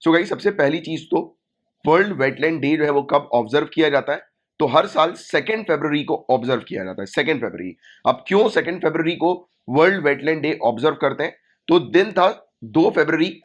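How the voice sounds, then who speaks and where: A man with a sore throat lectures students for exam prep, going over key points and checking in with "right?" His voice sits a little high for a man. The recording is dull and lo-fi. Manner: explanatory, repeats key points and asks "right?". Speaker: exam coaching teacher.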